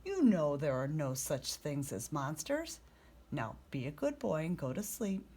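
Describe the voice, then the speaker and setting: A woman's voice: deep voice, very animated, a little slow, warm tone, husky, pitched below the performer's own voice, exaggerated voice. A woman voicing a firm, dismissive mother who scolds her young son in a children's storybook.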